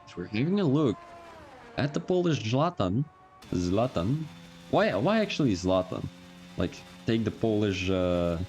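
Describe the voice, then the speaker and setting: Low-voiced Antwerp-accented young man. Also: darkish tone. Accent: Antwerp Flemish. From Belgium. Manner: rambling, chuckling, self-correcting. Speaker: race commentator. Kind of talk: casual livestream chat.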